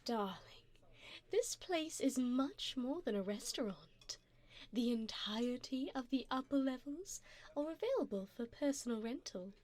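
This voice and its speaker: A woman's voice: a little high, darkish tone. A woman voicing a wealthy, doting older vampire sugar mommy in an ASMR romantic roleplay, coaxing and refined as she pampers her darling. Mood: suave, attentive.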